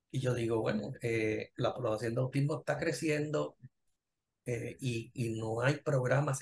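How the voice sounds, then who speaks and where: A middle-aged man with a Puerto Rican accent, a professor speaking conversationally as an interview guest about his own working life. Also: medium pitch. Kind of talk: conversational personal career account.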